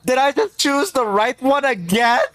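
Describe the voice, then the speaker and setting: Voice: high voice, moderate pace, fairly bright tone, no echo. A game streamer doing reaction commentary, exclaiming and repeating words in amazement while playing a story game.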